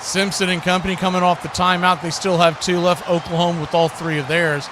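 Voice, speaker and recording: High-pitched man calling football play-by-play, noisy audio. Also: flat delivery, fairly quick. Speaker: play-by-play commentator. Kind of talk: live football play-by-play commentary. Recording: clearly noisy live stream audio.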